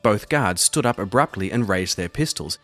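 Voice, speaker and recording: A man with a lowish voice narrates a solo role-playing story. He switches between written prose and casual commentary on the game, with soft music behind him. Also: expressive, normal speed. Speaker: host and solo role-player of an audio drama.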